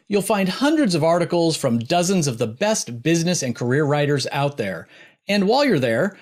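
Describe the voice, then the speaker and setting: A middle-aged man hosting a podcast, presenting and interviewing in a conversational way. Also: fairly high pitch. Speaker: podcast host interviewing a guest. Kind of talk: scripted intro and conversational interviewing.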